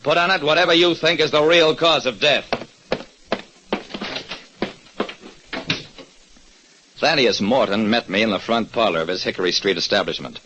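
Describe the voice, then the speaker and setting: A man's voice: mid-range voice, quick pace, muffled recording, background noise, lightly acted. An actor playing a wry, hard-boiled private detective who narrates his case tersely in an old radio drama. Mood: brisk.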